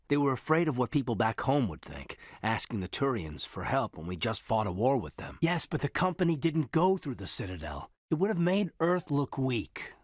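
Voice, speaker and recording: An actor playing an earnest, reserved military officer in his thirties in a sci-fi video game, speaking in a measured, hesitant, husky voice. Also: mid-range voice, dry recording, clean studio sound. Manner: hesitant, measured, sincere, deferential. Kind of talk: video game character dialogue.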